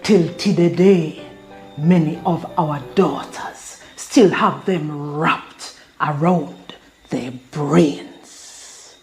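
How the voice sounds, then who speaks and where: A woman's voice: sits low, darkish tone, soft background music, exaggerated voice. A woman speaking in verse as a proud, defiant maroon queen from the ancestral past. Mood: solemn, defiant. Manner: slow solemn recitation in verse.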